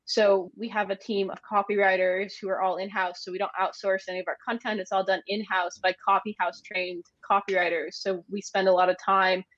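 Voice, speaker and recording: A woman's voice: medium pitch, level tone, fairly quick, hardly any pauses, fairly bright tone, dry recording. American woman, dry and slightly muffled. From United States (Maine). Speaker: interview guest, agency managing director. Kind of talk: conversational interview answers.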